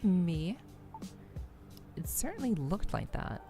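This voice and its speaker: A woman's voice: lowish voice, sing-song, slow. A woman livestreaming a game as a VTuber, reading dialogue aloud and commenting on it. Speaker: streamer, VTuber. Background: faint background music.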